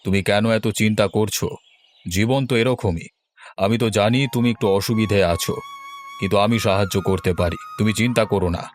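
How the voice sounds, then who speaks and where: Cartoon casting: man as landlord, stern outside and soft inside, lowish measured voice, music behind. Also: steady tone, natural voice. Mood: reassuring. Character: stern landlord turned soft-hearted benefactor. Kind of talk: Bengali cartoon drama dubbing. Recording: music under the voice.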